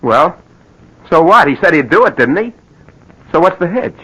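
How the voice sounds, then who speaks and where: An actor playing a desperate, guilt-ridden husband in a radio drama, stammering and urgent. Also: male voice, fairly high pitch, sing-song, moderate pace, sharp tone, breathy edge, full character voice, poor audio. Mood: desperate, demanding. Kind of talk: 1940s radio drama acting. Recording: old radio drama recording.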